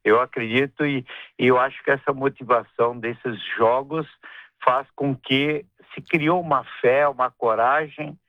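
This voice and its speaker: A middle-aged man with a gaúcho accent from southern Brazil, a businessman guest talking in a radio interview, rambling and halting with many restarts and tag questions. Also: medium pitch, fairly even intonation, dry recording. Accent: Gaúcho Portuguese. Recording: tinny phone-line radio sound.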